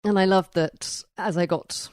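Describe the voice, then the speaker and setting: A woman's voice: on the low side, fairly even intonation, unhurried, a little mellow, no room sound. A woman hosting a podcast, talking through and introducing books in a discussion. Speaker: book club podcast host.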